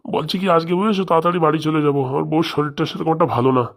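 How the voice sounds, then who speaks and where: A very fast-talking, fairly high-voiced cartoon headmaster, played by a man. He is caring but fretful and a little bossy. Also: creaky. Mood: instructing. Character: caring, busy married head schoolmaster, mildly bossy. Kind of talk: comedy cartoon dubbing.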